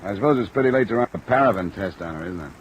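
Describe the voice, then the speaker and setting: A man acts a dogged, hard-boiled investigator in a vintage radio mystery. His deep voice is clipped and matter-of-fact, set over a soft wash of rain on an old recording. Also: expressive, very fast, muffled recording, a little hiss or hum, close to an everyday voice. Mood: businesslike. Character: tough, dogged, cool-headed insurance investigator. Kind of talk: old-time radio drama acting and narration.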